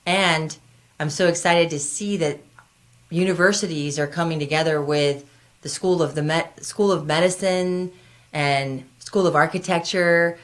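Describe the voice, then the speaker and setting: A woman hosting a conversational health radio show as a doctor, speaking earnestly and directly to her listeners. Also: low voice.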